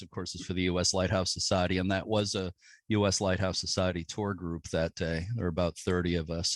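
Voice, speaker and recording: Low-voiced affable male podcast host. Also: no room sound. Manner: affable, with frequent uh fillers. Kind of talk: casual interview.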